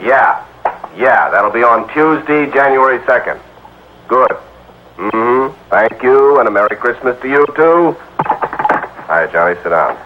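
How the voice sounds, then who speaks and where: A man's voice: mid-range voice, lively intonation, a little slow, pauses often, close to an everyday voice. An American actor playing a genial, sly married insurance office man in an old-time radio drama, jovial and coaxing. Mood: businesslike. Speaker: supporting actor in a radio detective series. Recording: thin phone-like old radio, faint noise.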